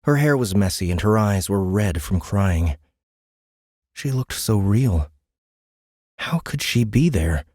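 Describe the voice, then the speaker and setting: A male audiobook narrator with a very low voice. His scripted delivery is dramatic and animated, recorded close and dry. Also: slow pace, long pauses, dry recording.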